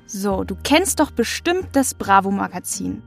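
A woman's voice: medium pitch, big pitch swings, a little slow, creaky, soft background music. A young woman hosting a casual interview, putting questions in an informal, playful way. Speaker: interviewer, host.

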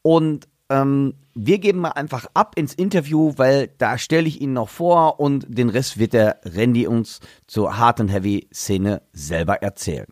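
Chatty German podcast host, dry sound. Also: male voice, medium pitch, unhurried, hardly any pauses, darkish tone, no echo. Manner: chatty, loose, with many fillers and restarts. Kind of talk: casual podcast interview.

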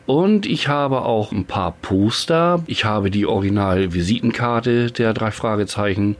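A man's voice: lowish voice, measured pace. A man in his early fifties answering casually in an interview as a devoted hobby fan. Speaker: interviewed radio play fan.

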